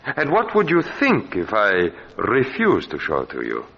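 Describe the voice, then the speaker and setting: Sinister, forceful henchman, old radio. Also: lowish voice, huge pitch swings, gravelly, full character voice. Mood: quietly threatening. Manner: slow-burning menace, formal phrasing. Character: menacing, unsightly puppeteer's assistant, sly and insinuating. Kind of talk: 1940s radio thriller acting. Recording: dull, noisy old radio recording.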